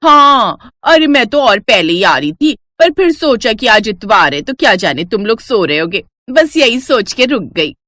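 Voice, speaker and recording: A woman voicing a pushy, chatty middle-aged neighbor woman who always begs for food, with a shrill wheedling tone. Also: big pitch swings, moderate pace, hardly any pauses, fairly bright tone, no room sound.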